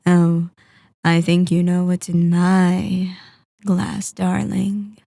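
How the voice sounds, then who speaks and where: Woman performing a sadistic female vampire, seductive, mellow dark tone, extremely slow delivery, polite menace, teasing breathy murmurs, ASMR roleplay. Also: lowish voice, no echo, clean studio sound, full character voice. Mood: coaxing, menacing. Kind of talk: ASMR roleplay, scripted character acting.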